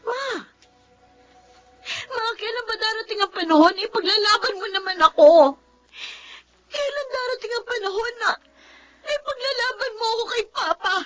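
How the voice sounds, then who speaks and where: A woman's voice: very high voice, normal speed, long pauses, sharp tone, soft background music. A woman playing a defiant, hot-tempered young adult daughter in a Filipino family drama, with a Filipino accent and an exasperated, pleading manner. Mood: tearful, defiant.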